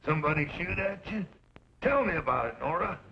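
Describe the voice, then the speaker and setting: An actor playing a garrulous old rancher father in a western radio drama, folksy and rambling with quiet menace. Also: a little high, animated. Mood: nosy, folksy. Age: older. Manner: folksy, rambling, drawn-out emphasis. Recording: muffled, noisy old radio recording.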